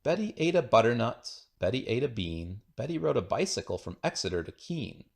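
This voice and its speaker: Animated man reading rhymes, slightly gravelly. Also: medium pitch, a little fast. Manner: playful, singsong, rhythmic delivery. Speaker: children's book reader. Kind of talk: read-aloud of children's rhyming poems.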